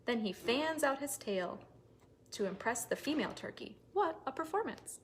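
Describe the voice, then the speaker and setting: A woman with a fairly high voice reads aloud to small children as a storyteller. Her delivery is animated and sing-song, addressed straight to young listeners. There is noticeable noise in the background. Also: normal speed. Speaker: children's librarian leading story time. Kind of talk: animated read-aloud for toddlers.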